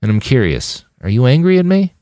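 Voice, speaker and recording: A man's voice: medium pitch, very animated, a little fast, dark tone, no room sound, studio quality, pitched above the performer's own voice, lightly acted. A man voicing a detached, introspective male war veteran in an audiobook, candid and searching as he talks.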